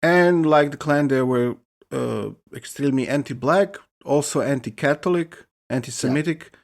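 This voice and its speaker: A man's voice: medium pitch, moderate pace, gravelly. Male podcast co-host, middle register, informal explanatory delivery. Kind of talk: casual explanatory discussion.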